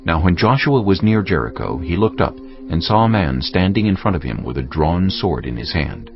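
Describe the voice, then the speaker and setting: A man's voice: deep voice, sing-song, moderate pace. A man giving scripted narration for a dramatized audio Bible. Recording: dull audio, music underneath.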